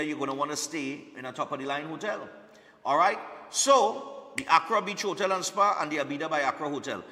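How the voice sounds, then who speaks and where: A man's voice: on the high side, pushed and bright, big-room sound. A man hosting a live morning TV show, chatty and repetitive, often checking in with "all right?".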